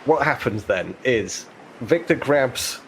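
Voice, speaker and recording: A man as game master, explaining rules and narrating conversationally, pausing to think aloud. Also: medium pitch, expressive, slight background noise, poor audio.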